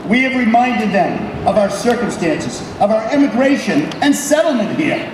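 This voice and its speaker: A man delivers a solemn ceremonial proclamation in a very high, raised voice. He moves slowly, phrase by phrase, like an officer addressing a public gathering. The sound is heavily echoing and distant, with clear background noise. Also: expressive. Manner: loud, solemn, oratorical, with deliberate pauses between phrases. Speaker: military company captain giving a ceremonial reading. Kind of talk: formal public declamation of a historical proclamation. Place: public ceremony at an old statehouse.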